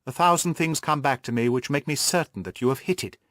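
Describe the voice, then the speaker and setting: A man's voice: medium pitch, brisk, fairly bright tone, no echo, studio quality, lightly acted. A man voicing an earnest, anxious but resourceful young woman client in an audiobook. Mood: earnest, urgent. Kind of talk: audiobook character dialogue.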